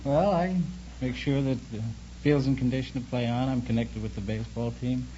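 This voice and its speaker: A man's voice: medium pitch, steady tone, normal speed, dark tone, highs cut off, a little hiss or hum. A young man chatting as a contestant on a 1950s radio quiz show, on an old broadcast recording. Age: young adult.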